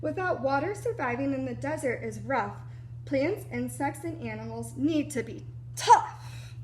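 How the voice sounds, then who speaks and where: High-voiced woman reading to young children. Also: unhurried, slight background noise, low-quality recording. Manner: animated, explanatory, speaking to young children. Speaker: preschool teacher reading a story aloud. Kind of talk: children's picture book read-aloud with asides.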